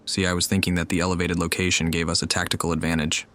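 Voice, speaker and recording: A man's voice: deep voice, monotone, fast talker, fairly bright tone, a little hiss or hum. A man giving casual commentary as a player of a video game.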